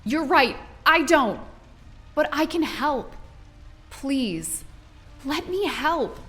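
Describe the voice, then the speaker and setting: A woman's voice: high-pitched, animated, drawn-out words, a bit bright, soft background music, exaggerated voice. A woman playing a brave, caring young superheroine, speaking earnestly and expressively in an audio drama. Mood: earnest pleading.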